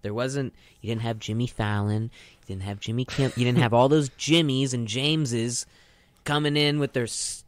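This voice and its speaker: Young man, radio co-host, casual chat, rambling, slangy, medium pitch, dry studio sound. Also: fairly even intonation, moderate pace, dry recording.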